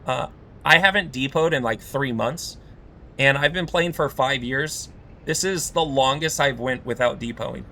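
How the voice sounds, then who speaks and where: A man venting on a game stream in rambling, casual talk, his voice worn hoarse and gravelly from a long day of talking. His tone is bright, with a faint hiss of noise behind him. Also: medium pitch, normal speed. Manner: frustrated, rambling, many fillers. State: voice going hoarse.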